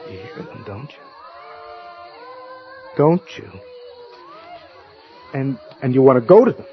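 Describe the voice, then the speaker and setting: An actor playing an anxious, possessive husband who stammers and speaks defensively in an audio horror drama. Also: male voice, mid-range voice, sing-song, slow, over music. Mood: worried, insistent. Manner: agitated, stammering. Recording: muffled, dull audio drama recording.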